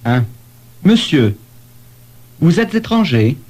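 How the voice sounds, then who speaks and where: A man playing a polite questioner in a scripted language-lesson dialogue, articulating deliberately. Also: on the high side, very animated, a little mellow, slightly rough, heavily acted. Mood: polite, inquiring.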